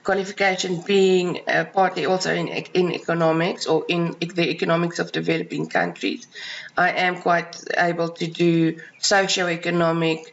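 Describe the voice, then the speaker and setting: A South African woman, a native Afrikaans speaker, calmly describing her freelance writing and editing work, with frequent ums and restarts. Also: lowish voice, gravelly, dull audio. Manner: measured, many ums and restarts. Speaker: freelance writer and editor. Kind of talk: self-introduction to clients.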